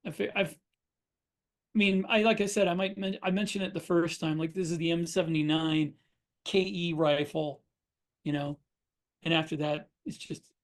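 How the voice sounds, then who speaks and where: A man's voice: on the high side, fairly even intonation, normal speed, pauses often. A man chatting casually about his craft as a podcast host and writer. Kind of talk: casual shop talk.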